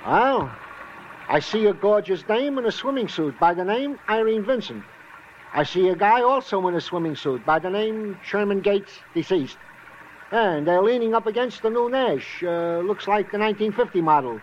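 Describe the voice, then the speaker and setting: An actor playing a naive, chatty, good-natured New police sergeant as comic relief in an old radio drama. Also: male voice, high voice, animated, moderate pace. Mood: earnest, naive. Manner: naive, chatty, literal-minded. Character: simple, eager, good-natured male police sergeant sidekick. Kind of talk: 1940s-50s radio comic-relief acting. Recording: muffled, noisy vintage radio recording.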